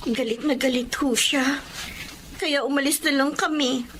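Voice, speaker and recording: High-voiced sad Filipino daughter. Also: female voice, fairly even intonation, a little slow, a bit bright, slight background noise. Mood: subdued. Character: sad, gentle young student daughter, soft-hearted and respectful. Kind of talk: Filipino radio drama acting.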